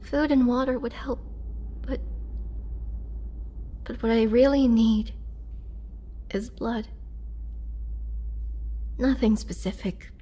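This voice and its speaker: A frail vampire prisoner, performed by a woman, speaks in a fairly high, soft voice. She takes her time, halting between words as if drained of strength. Her tone is gentle, courteous and earnestly pleading, in the close style of a roleplay audio drama. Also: fairly even intonation. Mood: weak, pleading. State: weak, drained. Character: weakened imprisoned female vampire, gentle, polite, pleading. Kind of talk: girlfriend roleplay audio drama, intimate acting.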